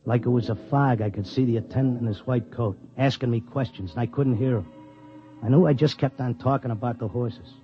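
A man's voice: mid-range voice, brisk, lightly acted. A man playing a streetwise, cynical racetrack clocker who narrates with wisecracking slang in a 1950s radio drama. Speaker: narrator and lead character. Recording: dull 1950s radio recording with music.

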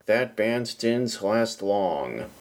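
A man's voice: fairly low pitch, sing-song, very slow. A man hosting a podcast, asking polite questions and reading scripted narration. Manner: polite, addresses guest as sir. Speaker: podcast host and interviewer.

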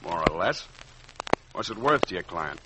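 A man playing a tough, wisecracking private detective in an old-time radio drama, with a terse, slangy, deadpan delivery. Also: low-pitched, normal speed, background noise. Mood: firm, controlled. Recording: vintage radio recording with music behind.

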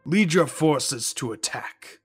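A man plays an alien mystic leader in a sci-fi audio drama. He is cold, calm and commanding, giving terse orders at an unhurried pace over faint music. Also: on the high side, slightly rough, full character voice.

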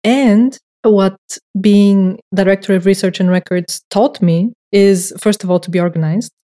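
A woman's voice: mid-range voice, fairly even intonation, a little slow, dark tone. A young woman with a Bulgarian accent, a non-native English speaker, chatting in a podcast interview with hesitant, thoughtful phrasing and small laughs. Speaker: director of records and research.

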